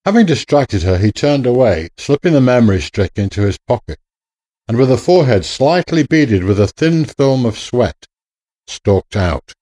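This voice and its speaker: A man narrating a radio drama in a measured, literary storytelling manner. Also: mid-range voice, big pitch swings, warm tone, no echo, low-quality recording.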